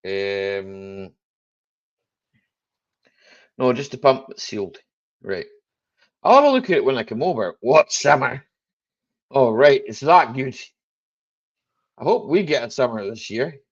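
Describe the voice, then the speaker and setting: A man with a strong Scottish Highland accent hosting a casual live chat, joking, laughing often and dropping "aye" and "eh" into his talk. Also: very animated, a little slow, pauses often, highs cut off. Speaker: livestream host.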